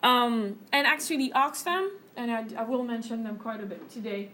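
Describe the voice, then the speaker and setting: A woman's voice: fairly high pitch, normal speed, bright, forceful tone. A woman teaching a class, speaking earnestly with many fillers and a habit of ending points with "right?". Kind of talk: classroom lecture.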